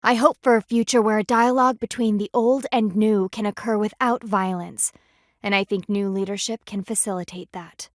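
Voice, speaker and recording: A woman's voice: medium pitch, steady tone, normal speed, no echo, studio quality, natural voice. A woman voicing a stern, proud female panda warrior in a video game, with formal, clipped and dryly sardonic diction. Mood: earnest, formal. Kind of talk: video game character voice lines.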